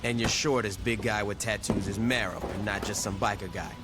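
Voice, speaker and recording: An actor playing a tough, impatient street gang boss in a video game, with a dry, blunt delivery. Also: male voice, fairly low pitch, fairly even intonation, fairly quick, over loud music, close to an everyday voice. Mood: dry, exasperated. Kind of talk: video game cutscene acting.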